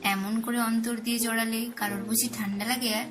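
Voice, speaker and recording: A woman's voice: on the high side, flat delivery, brisk, a bit bright, lightly acted. A woman playing a young, playful and affectionate Bengali wife in a romantic audio story, with teasing tenderness. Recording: music under the voice. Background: background music.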